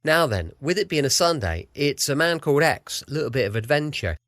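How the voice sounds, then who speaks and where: A man's voice: on the high side, lively intonation, a little fast, a bit bright, dry recording, studio quality. A British man chatting casually as he introduces his podcast. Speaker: podcast host. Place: at home.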